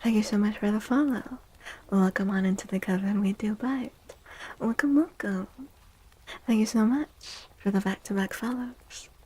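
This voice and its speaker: A woman livestream host chatting in a soft-spoken ASMR style, often dropping into a whisper, with a gentle, playful, cutesy and affectionate manner. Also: mid-range voice, moderate pace, breathy edge, rough recording.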